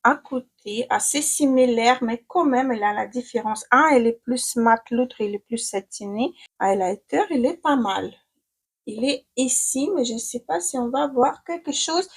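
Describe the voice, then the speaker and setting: A young woman with a Ukrainian accent talks her way through a makeup tutorial, often pausing with fillers and restarting words. Her voice sits fairly high and sounds slightly husky and breathy. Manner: hesitant, many fillers and restarts while applying makeup. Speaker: beauty vlogger.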